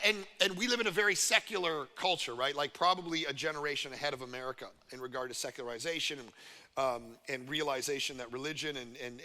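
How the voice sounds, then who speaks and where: Pastor, male, from Canada, gravelly and piercingly bright, chatty sermon delivery, long church-hall reverb. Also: fairly high pitch. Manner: animated, conversational preaching with many "right?" asides.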